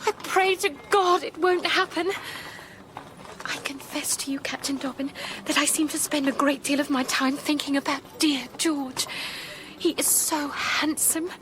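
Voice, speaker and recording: A woman playing a sweet, naive young English gentlewoman in love, gushing and genteel, in a period radio drama. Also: high-pitched, fairly even intonation, unhurried, noisy background. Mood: worried. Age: young adult.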